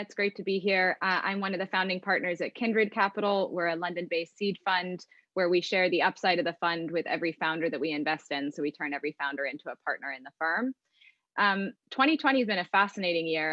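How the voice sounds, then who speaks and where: A woman's voice: lowish voice, a bit bright, no room sound, lo-fi sound. A woman speaking as an investor on a panel, explaining her points thoughtfully in a conversational way. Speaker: venture capital investor, panel guest.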